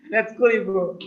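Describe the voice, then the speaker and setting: A man moderates a webinar in a slightly high voice, slow and hesitant, with "uh" fillers. His sound over the video call is muffled and lo-fi. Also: bright, forceful tone, slight background noise.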